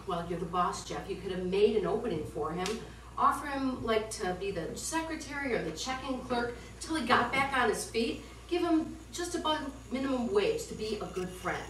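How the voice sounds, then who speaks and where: A woman's voice: on the high side, expressive, moderate pace, a bit bright. A woman playing a defensive wife who reasons with and chides her husband in an amateur stage play. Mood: chiding. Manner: reasoning, mildly chiding, defensive. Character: defensive, placating wife, a wedding guest. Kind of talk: amateur one-act stage play acting. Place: indoor theatre studio, heard from afar. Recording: roomy distant stage recording, clear noise.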